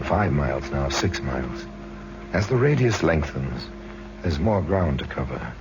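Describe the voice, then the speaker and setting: A man narrating an old time radio crime drama in a measured, grave, dramatic way. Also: a bass voice, dull audio, noisy background. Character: grave, worldly narrator of true crime tales.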